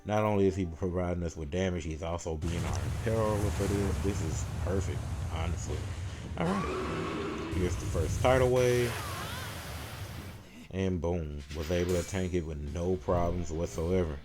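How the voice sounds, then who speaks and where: A man's voice: low voice, moderate pace, very noisy. A man giving casual, step-by-step live commentary as he guides viewers through a video game battle. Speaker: gameplay guide creator and commentator. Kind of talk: live gameplay commentary, walkthrough. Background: game music behind the voice.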